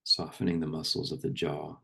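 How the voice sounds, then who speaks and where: A man speaking as a meditation guide and poetry reader, slow and unhurried, with long pauses between phrases. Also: extremely low pitch, little pitch movement, creaky, sharp tone, husky.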